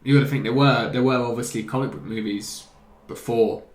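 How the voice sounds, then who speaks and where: A man's voice: mid-range voice, fairly even intonation, a little fast. A man hosting a casual, opinionated chat among friends, recorded in a roomy space. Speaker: host of a video game chat show. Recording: roomy, echoey indoor pickup.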